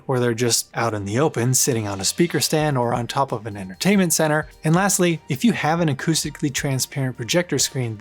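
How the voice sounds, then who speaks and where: A male reviewer narrates a scripted product review in a medium-pitched voice. His delivery is colloquial and sprinkled with playful asides. Music plays behind him. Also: slightly rough. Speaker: audio gear reviewer. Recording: music bed under the voice. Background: background music.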